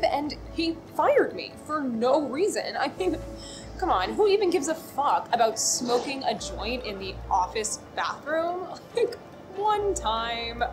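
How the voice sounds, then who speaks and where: A young American woman rambles through a voicemail in a comedy short. Her voice sits a little high and she takes her time, hesitating and then veering into indignant, foul-mouthed asides. Music plays clearly behind her. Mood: indignant, flippant. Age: young adult.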